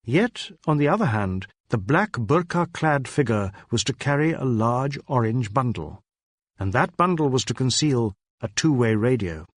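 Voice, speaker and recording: A man reading a crime novel as scripted dramatic narration, in a measured, literary storytelling manner. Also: medium pitch, lively intonation, no room sound, studio quality. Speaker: audiobook narrator.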